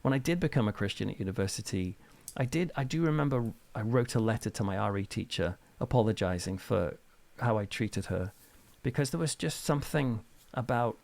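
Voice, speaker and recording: A middle-aged man with a British accent and a fairly low voice. He talks casually as a guest in an interview. His delivery is informal and chatty, like someone animatedly sharing anecdotes. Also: expressive, a little fast, gravelly, hardly any pauses, a little mellow. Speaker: interview guest, author and reverend.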